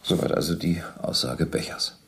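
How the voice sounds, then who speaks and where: A low, gravelly police interrogator. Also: male voice, lively intonation, a bit bright, slight background noise, exaggerated voice. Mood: matter-of-fact. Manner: formal, measured questioning and reading from documents. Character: male police captain interrogator, methodical, controlled, probing. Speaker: actor in a radio play. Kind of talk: German radio docudrama interrogation acting.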